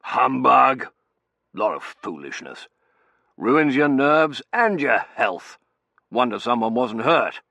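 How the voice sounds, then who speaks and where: A gruff, blustery old colonel for an audiobook. His pitch is raised and swings widely, with a gravelly creak. He fires off clipped, indignant scraps of sentences like a Victorian patriarch. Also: very animated, slow pace, pushed and bright, dry recording, full character voice. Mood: blustering, commanding. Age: older. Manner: clipped shorthand speech, dropping sentence subjects. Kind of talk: audiobook character voice.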